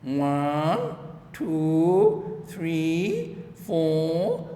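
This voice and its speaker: A man voicing a greedy, gloating old farmer from a storybook, with drawn-out, emphatic exclamations. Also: on the high side, heavily acted. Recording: echoey room, long reverb, steady background noise.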